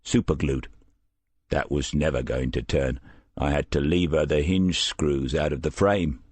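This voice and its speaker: A man's voice: rumbling low, steady tone, measured pace, darkish tone, slightly rough, no room sound, heavily acted. A man voicing a plain-spoken, terse male caretaker in an audiobook. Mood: matter-of-fact. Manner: terse, clipped fragments.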